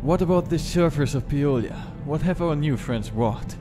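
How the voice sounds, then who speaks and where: A man's voice: fairly high pitch, expressive, lightly acted. Actor as a commanding male starship commander, music behind. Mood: composed, commanding. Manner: measured, authoritative. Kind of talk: audio drama acting.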